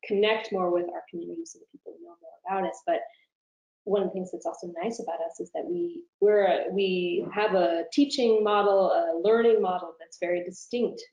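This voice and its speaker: A woman with a soft-edged, mellow voice answers as a professor being interviewed. She is informal and thoughtful, reshaping sentences as she talks. The room adds a noticeable echo around her. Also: mid-range voice, fairly quick. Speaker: university professor, interview guest. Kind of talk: conversational webinar answers. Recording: roomy, echoing room sound.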